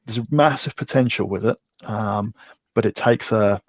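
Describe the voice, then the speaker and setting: A man's voice: on the low side, normal speed, no echo, lo-fi sound. A man explaining technical subjects conversationally as an interview guest, talking in a discursive way. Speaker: podcast guest, technical architect.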